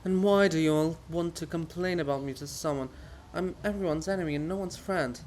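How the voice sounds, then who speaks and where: Guy doing a gentle patient statue in a play reading, soft spoken, coaxing, kinda needling. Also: on the high side, a little fast, a little hiss or hum, lightly acted. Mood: weary. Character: living Gogol monument, gentle, patient, gently needling male friend. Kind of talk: theatrical dramatic reading of a farce.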